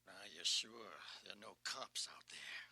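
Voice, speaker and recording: A man playing a nervous, sinister mobster in a 1980s record drama, speaking in a hushed, guarded way. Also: fairly low pitch, a little slow, breathy edge, exaggerated voice. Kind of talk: 1980s children's record audio drama acting.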